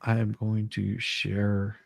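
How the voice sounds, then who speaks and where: A man's voice: lowish voice, monotone, slow, vocal fry, dry recording. Rambling man hosting a livestream, dry sound. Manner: rambling, repeats phrases, trails off mid-sentence. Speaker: livestream host. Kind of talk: casual livestream chat and commentary.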